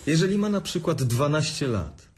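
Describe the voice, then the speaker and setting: An actor playing a hurt, defensive younger brother in a radio drama. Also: male voice, fairly high pitch, big pitch swings, normal speed, darkish tone, breathy edge, soft background music. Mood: tense.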